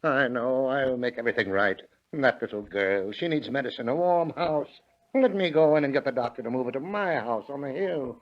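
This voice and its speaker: A man's voice: mid-range voice, lively intonation, a little fast, muffled recording, lightly acted. A man acting a repentant old miserly landowner in a vintage radio drama, pleading with fervent melodrama. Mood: remorseful, earnest.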